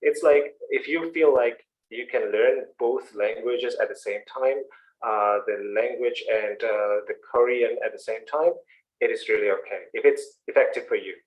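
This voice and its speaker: A man's voice: medium pitch, moderate pace, low-quality recording. Indonesian-accented male instructor, explains steadily and encourages, mid-range voice. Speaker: English instructor. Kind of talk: answering questions in an online class. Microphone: online video call.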